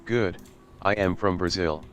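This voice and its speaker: A text-to-speech male voice reading out viewers' chat messages in a flat, synthetic way. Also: low voice, a little slow, soft background music.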